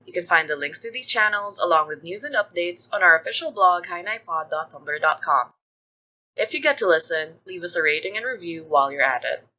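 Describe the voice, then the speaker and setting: A woman's voice: fairly low pitch, lively intonation, fairly quick, bright, forceful tone, low-quality recording. A woman podcast producer reading scripted credits and announcements to listeners.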